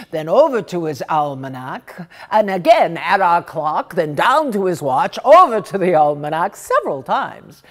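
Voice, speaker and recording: A woman reading a literary story aloud in an expressive, theatrical and deliberate manner. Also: on the low side. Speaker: story reader.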